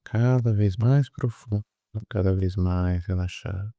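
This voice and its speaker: A man with a Brazilian Portuguese accent leading a guided meditation, soothing and repetitive, with pauses between drawn-out phrases. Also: sits low, dark tone, no echo. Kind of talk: guided meditation, hypnotic induction.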